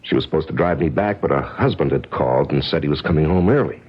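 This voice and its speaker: An American actor playing an injured, evasive and wry drifter, colloquial and halting, in 1970s radio drama style on a muffled old broadcast. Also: male voice, very deep voice, expressive, husky, full character voice. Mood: confiding.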